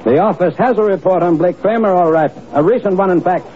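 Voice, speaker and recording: Actor as a high-voiced, formal police inspector. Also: male voice, brisk, slight background noise, natural voice. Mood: formal, grave. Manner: precise, formal reporting. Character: formal, dutiful male police inspector in Bombay. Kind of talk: 1950s radio drama acting. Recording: muffled old radio recording, background crowd.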